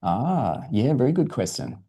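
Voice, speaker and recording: A man's voice: mid-range voice, very animated, unhurried, warm tone, breathy edge. A man presenting science, answering questions informally in an encouraging, explanatory way for a young audience.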